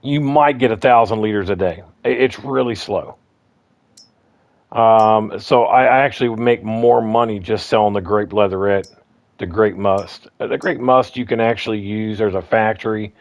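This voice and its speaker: A man with a slightly hoarse voice walking through his game farm in a casual, rambling way, full of ums and restarts. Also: on the low side, moderate pace, no echo. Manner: rambling, repeats himself, lots of ums and restarts. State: slightly hoarse, clearing throat. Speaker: gaming guide host. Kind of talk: casual gameplay walkthrough.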